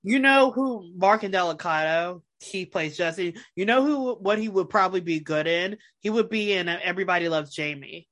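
A man with a high voice co-hosting a casual podcast, hesitant and restarting sentences. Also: normal speed, hardly any pauses, a bit bright. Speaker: podcast co-host. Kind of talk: casual chat.

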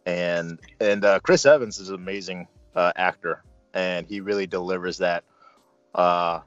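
A man with a very low voice hosts a casual film chat. He talks thoughtfully and haltingly, with a fan's enthusiasm. The sound is dry and a bit dull, with music behind him. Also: clear, resonant tone, no echo. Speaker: movie review show host.

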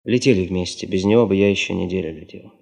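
An actor in a Soviet radio play as a dry, unhurried man, a traveller describing his formidable new boss. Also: deep voice, creaky, darkish tone. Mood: wry, informative. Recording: long reverberant hall echo.

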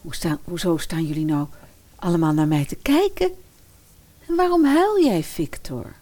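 A woman's voice: on the low side, expressive, normal speed, dark tone. A woman playing a sweet modern teenage fairy-tale princess in a radio play. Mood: bewildered. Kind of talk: Dutch radio play acting.

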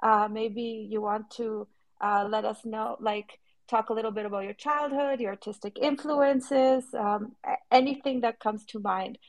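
A woman co-hosting an online chat, reading a guest bio aloud and welcoming her warmly. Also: on the high side, fairly even intonation, measured pace. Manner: friendly, reads aloud smoothly. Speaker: co-host.

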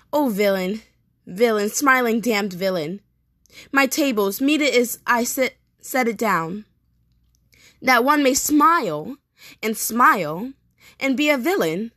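A woman playing an anguished, vengeful young prince in a declamatory Shakespearean stage monologue with dramatic pauses. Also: fairly high pitch, fairly bright tone, dry recording, studio quality. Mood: bitter, outraged. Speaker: performer rehearsing a soliloquy. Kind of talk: theatrical Shakespearean monologue, repeated takes.

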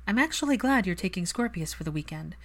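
Woman playing an earnest, expressive, guarded young woman. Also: mid-range voice, quick pace. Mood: troubled.

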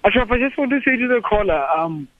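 A man's voice: very high voice, lively intonation, fast, dry recording. A man from South Africa calling in to a radio show, flustered and repeatedly correcting himself. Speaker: caller. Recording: tinny phone line.

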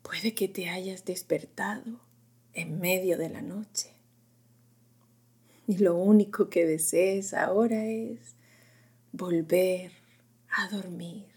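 A woman narrating a guided sleep meditation in a gentle, unhurried, whispery voice, in short phrases with long pauses. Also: lowish voice, fairly even intonation. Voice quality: breathy, whispery, often dropping into whisper.

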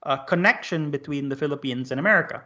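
A man's voice: a little high, fast, sharp tone. A man hosting a podcast interview, speaking thoughtfully with lots of "like" and "uh". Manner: thoughtful, filler-heavy with "like" and "uh". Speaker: podcast host. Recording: muffled lo-fi audio.

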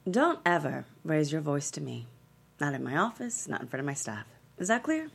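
A woman's voice: sits low, big pitch swings, moderate pace, gravelly, husky, exaggerated voice. A woman playing a commanding, defiant talk show queen in her forties, imperious and indignant, at a script table read. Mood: stern.

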